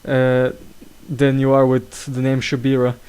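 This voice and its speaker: A young man hosts a casual chat in a middle-pitched voice. He talks hesitantly, stumbling over his words and filling the gaps with "uh". A slight cough hangs on his voice. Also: completely flat delivery, a little slow, a little mellow, smooth. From England. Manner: hesitant, full of fillers and restarts. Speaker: podcast host.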